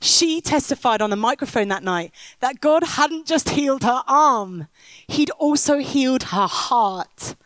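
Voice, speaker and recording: A woman's voice: high voice, animated, measured pace, fairly bright tone, no echo. A woman preaching on stage, telling a story and rousing the crowd. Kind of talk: sermon with storytelling. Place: stage in a big top tent.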